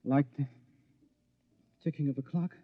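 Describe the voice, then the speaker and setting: A man's voice: mid-range voice, steady tone, unhurried, no echo, close to an everyday voice. Theatrical American everyman played by a man, muffled recording. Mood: dawning horror. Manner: emphatic, theatrical. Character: excitable, bossy married everyman, affectionate and fretful. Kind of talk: 1950s radio drama acting. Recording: muffled vintage 1950s radio recording.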